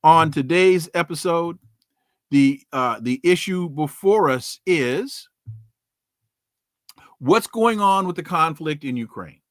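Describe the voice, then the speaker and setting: A man's voice: a little high, expressive, takes it slowly, pauses often, a bit bright, dry recording, studio quality. A man hosting a podcast, giving emphatic commentary with rhetorical questions and folksy sayings before bringing on his guest. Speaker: podcast host. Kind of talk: news commentary and interview opening.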